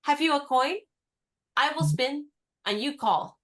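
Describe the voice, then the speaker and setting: Actress as a headstrong, teasing young woman; crisp delivery, fairly high voice. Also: animated, unhurried. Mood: determined. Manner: crisp, teasing and firmly insistent. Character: spirited, independent young woman, teasing and stubborn. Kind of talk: radio play acting.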